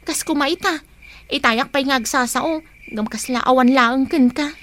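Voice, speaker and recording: A woman's voice: high voice, a little slow, a bit bright, slight background noise. A woman with a Filipino accent playing a caring but anxious, suspicious young pregnant wife in a radio drama, with a plaintive, questioning way of talking. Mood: hurt, suspicious. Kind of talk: Ilocano radio drama acting. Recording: music behind the dialogue.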